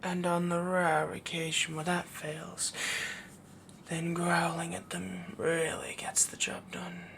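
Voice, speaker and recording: Man playing a whispery, gravelly possessive werewolf boyfriend. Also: high-pitched, completely flat delivery, slow, a little hiss or hum, heavily acted. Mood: smug, possessive. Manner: soft-spoken, whispery, adoring rambling. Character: possessive, doting yandere werewolf boyfriend, dominant yet sweet. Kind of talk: intimate roleplay audio, ASMR.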